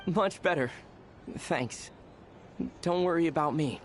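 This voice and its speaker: Calm young traveler hero. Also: female voice, sits low, slow, slight background noise. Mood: calm, reassuring. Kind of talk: video game character dialogue.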